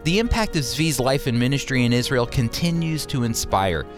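A man with a mid-pitched voice speaks as a radio host and Bible teacher. His delivery is earnest and explanatory, with soft music behind him. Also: lively intonation, normal speed. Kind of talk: radio ministry talk.

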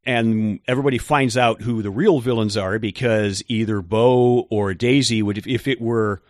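A man co-hosting a podcast, explaining things in a measured way with occasional hesitant fillers. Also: fairly low pitch, steady tone, darkish tone, no room sound, studio quality. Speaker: podcast co-host. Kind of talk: casual chat.

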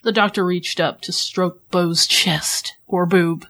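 A woman's voice: fairly low pitch, fairly even intonation, slow pace, fairly bright tone. A woman co-hosting a podcast, playful and giggly as she chats casually and reads fan fiction. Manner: playful, giggly, breaks up while reading.

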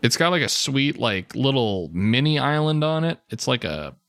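Animated, slangy American man bantering. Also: medium pitch, moderate pace, dry recording. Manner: slangy, profane, jokey, animated. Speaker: podcast co-host. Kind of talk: casual comedic banter.

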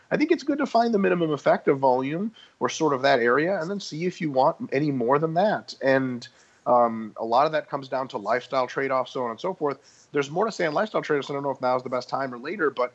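A man's voice: medium pitch, lo-fi sound. A man explaining muscle training in a casual podcast discussion, talking through his points with frequent ums and restarts. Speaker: guest expert.

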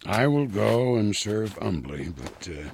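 A gravelly old priest, swooping delivery. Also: male voice, on the low side, sing-song, slow, darkish tone, slight background noise, full character voice. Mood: stubborn, impassioned. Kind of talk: dramatized Bible audio drama.

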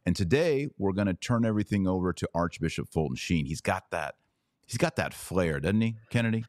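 Male podcast host, low-pitched, casual and conversational, close dry mic. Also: lively intonation, fairly quick, darkish tone, no echo.

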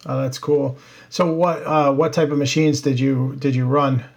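Halting, gravelly-voiced male podcast host. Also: medium pitch, little pitch movement. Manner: friendly, halting with many fillers. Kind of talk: casual interview.

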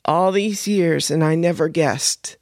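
A man reading an audiobook and voicing Fiona, a composed woman operative who talks in a calm, deliberate, formal way. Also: a little high, no room sound, studio quality, pitched above the performer's own voice.

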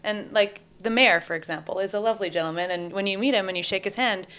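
A woman's voice: medium pitch, fast talker, a bit bright. A woman talking casually as a guest in an interview.

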